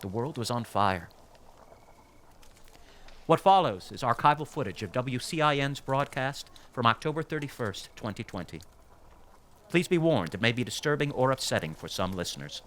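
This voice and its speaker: A man playing a grave public radio documentary host, narrating in a measured, scripted way with dramatic pauses. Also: medium pitch, animated, a bit bright, close to an everyday voice. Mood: solemn. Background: music under the voice.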